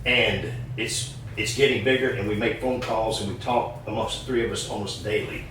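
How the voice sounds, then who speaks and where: A man, a former Marine, introducing himself at a steady pace with a dry sense of humor as a podcast guest. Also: lowish voice, moderate pace, background noise. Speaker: guest, former Marine, veterans group officer. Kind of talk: casual personal introduction. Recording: echoey room, mic at a distance.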